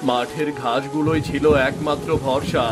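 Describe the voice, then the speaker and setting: A man's voice: medium pitch, steady tone, normal speed, over loud music, natural voice. A man voicing a worried poor village farmer, husband and father in a cartoon, plain and homely in manner. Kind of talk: cartoon voice acting.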